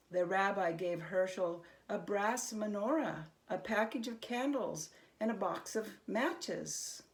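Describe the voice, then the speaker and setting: A woman tells a children's story in an expressive, scripted style. Her voice sits at a medium pitch, and she takes her time with a slow pace.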